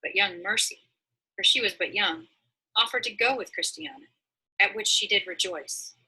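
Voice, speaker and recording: A woman reading a storybook aloud as narrator, in a dramatic, expressive manner. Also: mid-range voice, moderate pace, husky, low-quality recording. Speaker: story reader.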